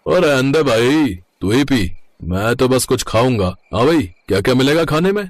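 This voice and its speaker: A man voicing an honest, gruff truck driver and cook who talks plainly and firmly in a moral story cartoon. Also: mid-range voice, animated, moderate pace, dry recording, natural voice. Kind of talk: animated moral story dialogue.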